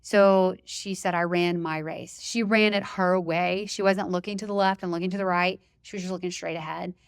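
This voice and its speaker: Loud, enthusiastic woman with a smooth low voice. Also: fairly even intonation, moderate pace, no room sound, clean studio sound. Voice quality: loud, emphatic voice. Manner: enthusiastic, chatty, laughs easily. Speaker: running podcast host, interview guest. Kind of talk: casual interview chat.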